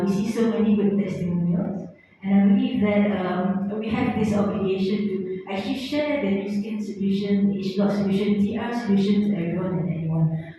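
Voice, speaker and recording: A woman's voice: medium pitch, mellow. A middle-aged woman with a Malaysian or Singaporean accent giving an earnest, emphatic testimonial speech as a motivational speaker, repeating words for stress. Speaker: motivational speaker at a sales seminar. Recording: echoey hall, distant mic, muffled, faint noise.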